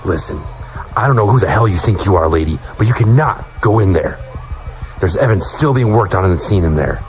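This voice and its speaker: A gruff male detective played by a man talks in a deep, low voice. He is stern and quick to challenge, in a crime audio drama with faint music behind him. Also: lively intonation. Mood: indignant. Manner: stern, confrontational.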